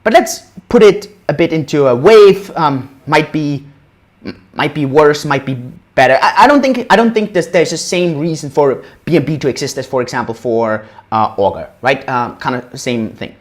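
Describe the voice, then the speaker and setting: A man's voice: a little high, moderate pace. A man in his thirties from Austria, giving a casual livestream lecture on investing at a whiteboard, often tagging sentences with "right?". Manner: animated, casual, frequent "right?" tag questions. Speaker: crypto educator.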